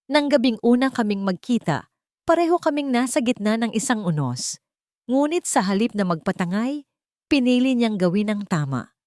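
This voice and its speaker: A woman storyteller voicing a devoted, dignified billionaire husband who speaks in an earnest, measured, formal way. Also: a little high, very animated, a little mellow, no echo, studio quality, voice pushed up. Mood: formal, admiring. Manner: earnest, measured speech-making.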